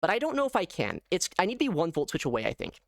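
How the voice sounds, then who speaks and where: A man giving casual live gameplay commentary as a streamer, thinking aloud in an animated way. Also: high-pitched, very fast, creaky, no echo, studio quality. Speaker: gaming streamer.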